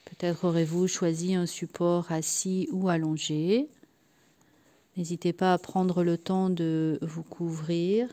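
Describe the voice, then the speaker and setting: A woman leading a guided yoga nidra relaxation in a soft, sometimes breathy voice, soothing and unhurried, leaving long pauses between short phrases. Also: on the low side, level tone. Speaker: guided meditation and sophrology practitioner.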